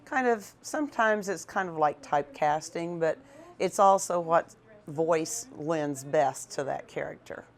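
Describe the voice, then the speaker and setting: A man's voice: a little high, unhurried. Brief: man, plain and matter-of-fact, talking about voicing characters. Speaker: radio theater voice actor. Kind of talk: casual interview.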